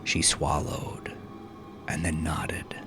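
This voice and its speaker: A man narrating a dark story like an audiobook, intense and theatrical, swinging between hushed phrase endings and forceful peaks. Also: extremely low pitch, little pitch movement, slow, creaky, whispered, over music. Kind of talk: dramatic scripted audiobook narration.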